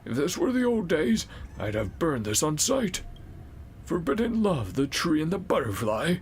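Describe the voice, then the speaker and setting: A man's voice: a little high, big pitch swings, moderate pace, slight background noise. A man playing a grumpy, prudish old guardian in a game, pompous and indignant. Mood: grumbling.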